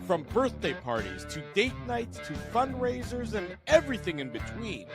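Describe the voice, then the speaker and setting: A man's voice: high-pitched, huge pitch swings, normal speed. A man announcer reading scripted radio commercials in an upbeat, punchy way, over a music bed.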